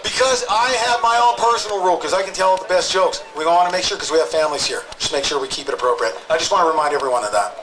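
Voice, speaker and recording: A man's voice: on the high side, background noise. A man hosting an outdoor rally, joking and calling up speakers one after another. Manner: upbeat, joking, fast-talking. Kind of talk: live rally emcee chat. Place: outdoor protest crowd.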